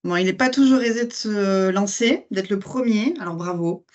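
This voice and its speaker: A woman's voice: medium pitch, normal speed, a little mellow, dull audio. A woman interviewer reading formal, scripted questions and introductions in a polished way.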